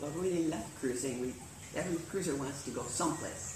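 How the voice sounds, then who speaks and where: An older woman telling cruising stories in a lively way, with easy laughs. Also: low-pitched, a little fast. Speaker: cruising rally organizer. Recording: echoey, distant, noisy veranda.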